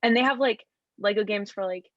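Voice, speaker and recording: A woman's voice: medium pitch, a little fast, dry recording, dull audio. A young adult woman co-hosting a casual podcast over a video call, bubbly and full of "like". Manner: bubbly, lots of "like". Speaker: podcast co-host. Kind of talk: casual chat.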